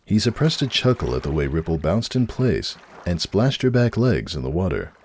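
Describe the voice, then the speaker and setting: A man's voice: low voice, big pitch swings, moderate pace, noisy background. A man reading a story aloud as narrator in a dramatic, scripted narration style.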